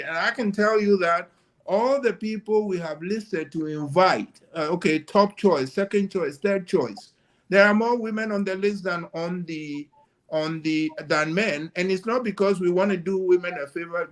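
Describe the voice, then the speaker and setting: An older man with a high voice, talking in a casual panel discussion. His comes out insistent and emphatic, and he sounds like he is on a video call. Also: normal speed. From Ghana.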